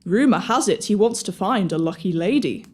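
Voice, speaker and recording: A woman playing an excitable, naive young pantomime sidekick who scares easily, with an eager comic manner. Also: mid-range voice, moderate pace, husky. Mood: chirpy. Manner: wide-eyed, comic, eager. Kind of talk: audio pantomime acting.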